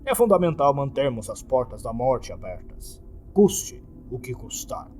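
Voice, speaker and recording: A man's voice: mid-range voice, sing-song, a little slow, mellow, soft background music. A Brazilian man voicing a courteous, resolute elderly employer in a horror story, speaking formally. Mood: solemn farewell.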